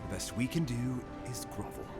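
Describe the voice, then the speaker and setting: An actor playing a beleaguered imperial ambassador in a video game, formal, theatrical and exasperated. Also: male voice, medium pitch, expressive, normal speed, over music, close to an everyday voice. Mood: angry, exasperated. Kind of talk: video game character acting.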